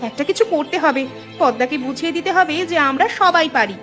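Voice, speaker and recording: A woman's voice: high voice, expressive, fast, fairly bright tone, soft background music. A woman voicing an outspoken, hardworking young village woman in a cartoon, earnest and emphatic. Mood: indignant, resentful. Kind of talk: cartoon dialogue.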